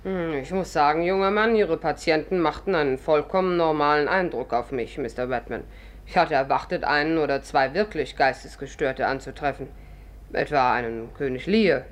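An actress playing an imperious, curious old stage diva with a declamatory, condescending manner in a 1950s radio play. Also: female voice, sits low, normal speed, natural voice. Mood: imperious, confiding. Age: older.

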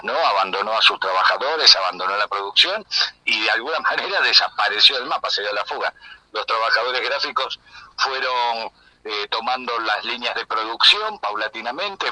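A man's voice: medium pitch, bright, forceful tone, poor audio. An older man with a Buenos Aires accent talking discursively and off the cuff as a guest in a radio interview. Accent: Buenos Aires Argentine. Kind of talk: unscripted conversational account.

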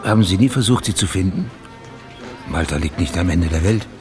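A police detective in a radio crime drama, with a lowish voice. He is businesslike and persistent, asking short, probing questions. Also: expressive, a little fast, music in the background.

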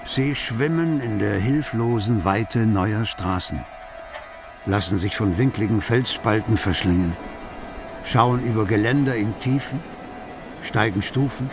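An actor playing the wryly observant narrator of a literary radio play about city life. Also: male voice, on the low side, animated, a little slow. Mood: wry narration. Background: music.

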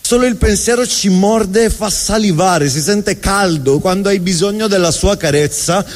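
A man performing a theatrical spoken monologue on stage, with emphatic, rhetorical delivery and deliberate repetition. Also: high voice. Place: live stage in a reverberant venue. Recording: reverberant hall, live stage sound.

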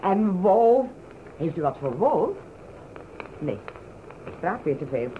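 An actress playing a flustered, grumbling, talkative cook in a Dutch radio play. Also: female voice, on the low side, very animated, takes it slowly. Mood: grumbling, blabbing. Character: flustered, grumbling female cook who can't keep quiet. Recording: muffled, slightly noisy radio recording.

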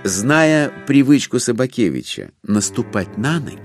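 A man narrating a literary classic for an audio drama in an expressive storytelling manner. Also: medium pitch, measured pace. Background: faint music.

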